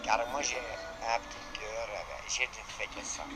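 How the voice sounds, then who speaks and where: A former paratrooper chatting in an emphatic, lively way. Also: male voice, medium pitch, unhurried, loud music behind the voice. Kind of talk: casual chat.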